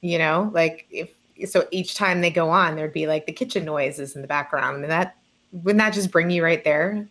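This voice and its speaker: A woman's voice: sits low, moderate pace, a bit bright. A woman taking part in a casual panel conversation over a video call. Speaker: panel participant. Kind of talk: casual chat.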